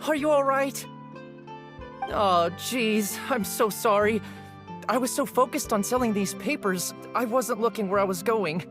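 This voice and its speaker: A man's voice: high voice, a little slow. A man voicing a young, flustered newspaper seller in a video game, talking in a nervous, apologetic, rambling way. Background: game music.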